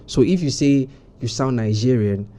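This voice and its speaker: A man on a studio panel discussing music, building his points slowly with restarts and "you know". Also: mid-range voice, mellow.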